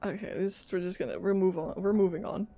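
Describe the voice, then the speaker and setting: A woman's voice: mid-range voice, fairly even intonation, fast, mellow. A woman streaming a video game, reacting casually in a giggly, jokey way. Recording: faint game music behind. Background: game music.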